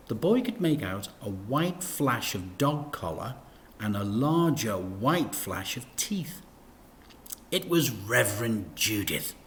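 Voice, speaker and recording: A man reading a children's story aloud in an expressive, deliberate way with dramatic emphasis. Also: medium pitch.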